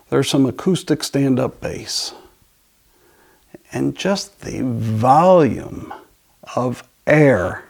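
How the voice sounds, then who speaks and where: Gravelly, slow-talking man, casual and enthusiastic. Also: medium pitch, darkish tone. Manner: enthusiastic, halting, trailing off mid-thought. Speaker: audio dealer and show host. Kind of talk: casual product and music talk.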